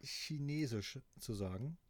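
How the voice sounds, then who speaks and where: A middle-aged man from East Germany co-hosting a podcast, reading aloud in a dry, deadpan way and chatting casually. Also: medium pitch, measured pace, a crowd in the background. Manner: dry deadpan delivery, laughing at his own reading. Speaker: podcast co-host.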